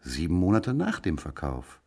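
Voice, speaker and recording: An actor playing a gruff, curt police detective with a dry, brusque manner in a radio crime drama. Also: male voice, low-pitched, wildly swooping pitch, normal speed, warm tone, exaggerated voice. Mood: matter-of-fact.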